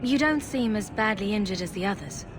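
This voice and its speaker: A woman playing an earnest, determined young heroine in a video game, calm, diplomatic and direct. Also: medium pitch, a little fast, noisy background, lightly acted.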